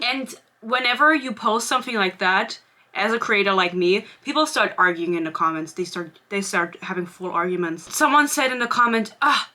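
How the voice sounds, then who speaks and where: A woman's voice: mid-range voice, bright, forceful tone. A young woman in her twenties whose first language is German, chatting casually as a book vlogger, rambling and sarcastic, laughing often. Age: 20s. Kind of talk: casual chat.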